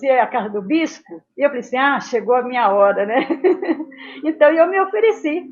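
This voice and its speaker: High-voiced elderly woman, muffled recording. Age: 70s. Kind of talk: reminiscing in a casual interview. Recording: dull, muffled lo-fi sound.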